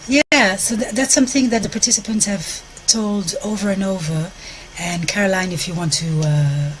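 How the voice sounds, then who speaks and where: A Belgian woman speaking English with a French accent, hosting a live stream outdoors in a park and pausing often to find her words. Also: mid-range voice, slight background noise, poor audio. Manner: searches for words, frequent restarts, soft gentle delivery. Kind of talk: live-stream talk to viewers.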